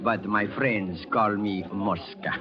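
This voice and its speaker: A man's voice: fairly low pitch, slow. An actor playing a small, nervous, obsequious man with a Spanish accent, fussy and over-polite, in 1940s radio drama style. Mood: apologetic, ingratiating. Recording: muffled old radio broadcast with music.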